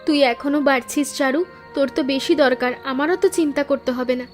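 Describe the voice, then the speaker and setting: A woman's voice: high voice, steady tone, fast talker, soft background music, lightly acted. A woman voicing a patient, caring elder sister, a poor flower seller who speaks reassuringly and practically in a cartoon moral story.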